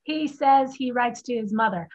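Cheeky little-sister scribe for stage drama, female reader: blunt, teasing, husky voice, dull lo-fi call audio. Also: a little high, normal speed, a little mellow, lightly acted. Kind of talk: staged play reading over video call. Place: home room on video call. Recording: muffled video call audio.

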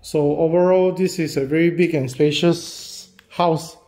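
Man, slow unhurried delivery, fairly high voice, hesitant phrasing, filmed on a handheld phone. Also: fairly even intonation, warm tone. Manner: halting, repetitive phrasing with many fillers. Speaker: real estate agent. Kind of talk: casual property walkthrough. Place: walking through a house and yard, in and out of doors.

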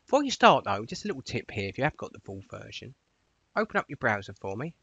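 A man narrating an informal software tutorial in a chatty, directive way, stressing the starts of his phrases. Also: mid-range voice, big pitch swings, quick pace, creaky, breathy edge, dry recording, muffled recording. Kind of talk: informal instructional screencast narration.

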